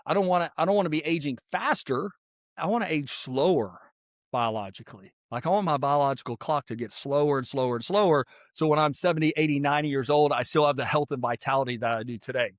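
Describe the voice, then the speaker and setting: A man of fifty, hosting a podcast in a casual, energetic monologue full of "like" and repeated points. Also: on the high side, fast, no echo, clean studio sound. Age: 50s. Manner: energetic, repetitive, filler-heavy persuasive talk. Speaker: podcast host.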